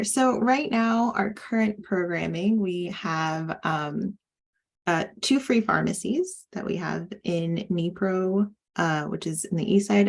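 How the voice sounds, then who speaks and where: Creaky-voiced woman explaining programs. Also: lowish voice. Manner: explanatory, many fillers. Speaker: relief program staff. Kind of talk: panel talk.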